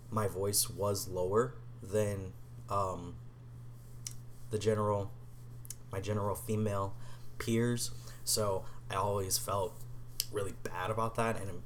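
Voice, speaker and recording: A man's voice: deep voice, slight background noise. A young man in a casual vlog, answering tag questions in a hesitant way, with many ums and restarts, and sometimes dropping to a whisper. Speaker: vlogger.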